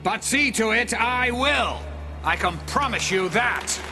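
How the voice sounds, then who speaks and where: A commanding middle-aged admiral addressing a galactic assembly. The voice is high and widely swinging, with theatrical, measured oratory over a clear musical score. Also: male voice, animated, over loud music, full character voice. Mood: grave, resolute. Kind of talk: formal political speech. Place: large assembly hall, at a podium. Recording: film soundtrack with score behind.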